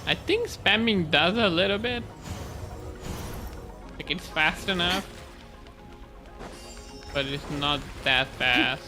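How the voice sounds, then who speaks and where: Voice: medium pitch, slow pace, pauses often. A streamer giving casual gameplay commentary, chill and musing aloud while playing. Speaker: streamer playing a story game. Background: game music.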